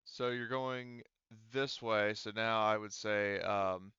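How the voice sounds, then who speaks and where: A man acting as game master in a tabletop roleplaying game, explaining casually and haltingly as he thinks aloud. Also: fairly low pitch, steady tone, pushed and bright, smooth, dry recording, highs cut off.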